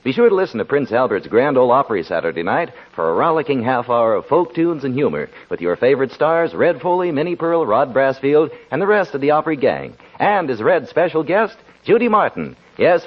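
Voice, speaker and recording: A man's voice: a little high, big pitch swings, normal speed, few pauses. A man reading a scripted commercial as a 1940s radio announcer. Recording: muffled 1940s radio recording.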